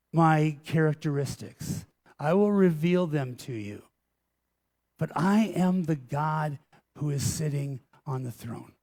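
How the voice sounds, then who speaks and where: A pastor voicing God as a calm, commanding male deity, solemn and measured. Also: on the high side.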